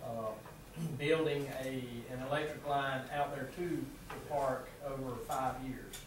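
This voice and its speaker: A Southern American man walking a council through a proposal, stopping often on fillers and restarts. His voice sits at an ordinary pitch and rings around a small room, picked up from far away with a steady hiss behind it. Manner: halting, many fillers and restarts. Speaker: city utility official presenting to council. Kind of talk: presenting a proposal at a council meeting. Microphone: far room camera mic. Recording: echoey room from far off, background noise.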